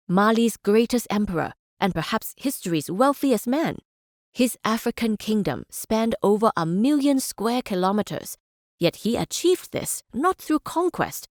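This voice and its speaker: A dry, close recording of a thoughtful female chancellor in a strategy game. Her mid-pitched voice is formal and deliberate, like a scholar lecturing. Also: a little mellow, dry recording. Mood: reflective. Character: measured, idealistic female scholar-chancellor and stateswoman. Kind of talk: scripted game character speeches and lectures.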